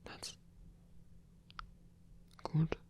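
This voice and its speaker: A man playing a shy, insecure frost giant trickster god in an intimate ASMR roleplay, breathy and halting, close to the microphone. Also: warm tone. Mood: disbelieving, tender. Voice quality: breathy, whispery. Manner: hesitant, halting, stammering. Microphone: close microphone, dry.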